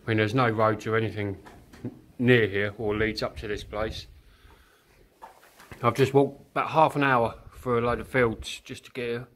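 Man musing quietly while exploring ruins. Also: lowish voice, steady tone. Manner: quiet, musing, thinks aloud with pauses. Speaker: urban explorer vlogger. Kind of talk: casual walkthrough narration. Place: inside a derelict countryside house. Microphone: handheld camera, filming while walking.

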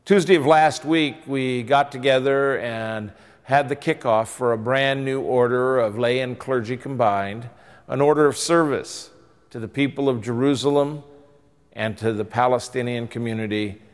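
A man's voice: medium pitch, unhurried. Older man, bishop, earnest pastoral appeal, church reverb. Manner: earnest, exhorting, rising and falling emphasis. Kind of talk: pastoral address to camera. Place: church interior by stained glass windows.

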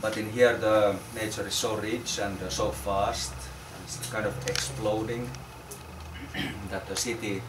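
A man in his forties giving an informal talk to a small group, halting with many fillers and repeated words. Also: on the low side, steady tone. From Finland. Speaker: architect presenting a research project. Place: small crowded room, indoors. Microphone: far camera. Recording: roomy, distant, noisy room.

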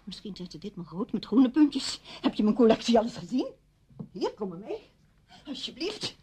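An actress playing a despairing, bitter widow in a radio play, with a sardonic, biting manner. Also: female voice, on the high side, very animated, normal speed, mellow, breathy edge, full character voice. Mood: sardonic, agitated. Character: bitter, despairing widow coldly holding her visitor captive. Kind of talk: Dutch radio play acting.

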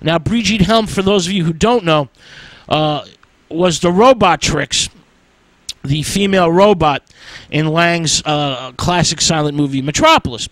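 A man hosting a radio show, giving an informal history lecture in a halting, drawn-out way with emphatic stresses. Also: a little high, a bit bright, no room sound, low-quality recording. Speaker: radio host.

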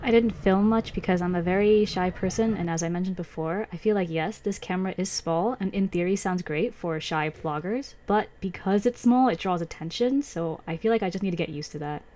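A woman's voice: fairly low pitch, fairly quick, few pauses, warm tone, a little hiss or hum. A young adult woman with a youthful voice, chatting informally and rambling through a casual vlog. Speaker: vlogger and convention artist.

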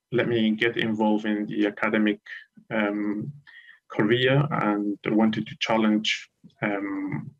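A man in his thirties from Iraq, a guest lecturer telling his story on a live TV interview over a video call, measured and hesitant with frequent ums. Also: lowish voice, muffled recording. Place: home room with curtains.

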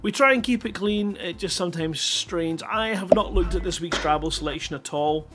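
Higher-voiced man hosting a casual livestream. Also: normal speed, heavy background noise. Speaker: live stream host. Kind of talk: casual rambling livestream chat. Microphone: headset.

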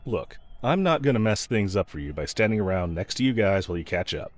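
A man's voice: lowish voice, sing-song, brisk. A man playing a snarky, pragmatic yet protective mercenary escort in a fantasy audio roleplay, talking to the listener in a wry, teasing, laid-back way. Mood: casual, reassuring.

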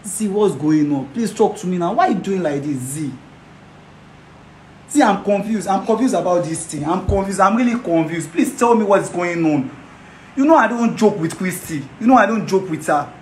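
A man's voice: sits high, lively intonation, normal speed. An actor playing a young man who pleads and repeats himself, breaking into laughing sobs. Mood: anxious. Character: young man heartbroken by betrayal. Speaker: betrayed boyfriend. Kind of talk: amateur skit acting. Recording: roomy echo, faint noise.

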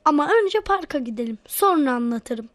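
A woman's voice: high voice, lively intonation, normal speed, noisy background. A woman voicing an eager, wheedling little boy in a children's cartoon, sweet to his elders. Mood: proud, eager.

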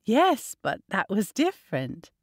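A woman reading an audiobook, voicing a spirited young bride with a lively, playful manner. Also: mid-range voice, unhurried, no echo, clean studio sound. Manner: lively, playful, indignant at times.

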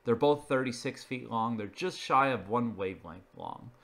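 A man's voice: mid-range voice, moderate pace, fairly bright tone. A man presenting an informal narrated slideshow, explaining each item in a matter-of-fact way. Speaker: video presenter.

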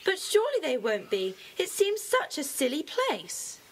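An actress voicing a very high-voiced young girl. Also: wildly swooping pitch, a little slow, exaggerated voice. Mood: eager. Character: cheerful young girl club member. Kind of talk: radio play acting. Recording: hissy cassette tape.

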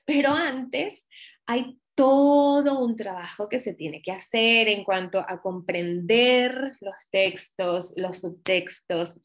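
A young woman from Venezuela with a Venezuelan accent, a voice actress chatting as a guest over a video call, lively and laughing often. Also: mid-range voice. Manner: lively, laughs often, acts out quoted lines. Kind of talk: casual interview chat. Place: home room, video call.

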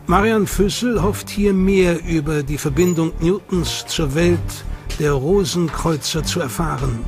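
A man giving measured, scripted documentary narration. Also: a little high, creaky. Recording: voice-over with loud music behind.

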